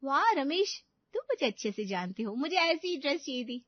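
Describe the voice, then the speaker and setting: A woman's voice: high voice, very animated, a little fast, dry recording, highs cut off, pitched above the performer's own voice. A woman voicing a spoiled, fickle college girlfriend in a cartoon story, whiny and dismissive. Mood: scornful.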